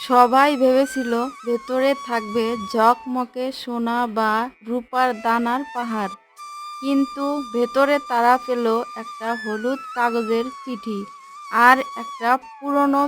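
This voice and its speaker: A woman narrates a scripted fairy tale for a cartoon. Her voice is high, and she takes her time in a measured storytelling delivery, with music playing behind her. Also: a bit bright.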